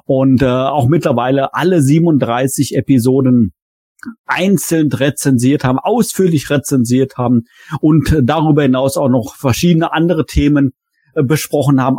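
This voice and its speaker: A man's voice: mid-range voice, mellow, breathy edge, no room sound, studio quality. A man hosting a fan podcast in a casual, conversational style. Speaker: podcast host.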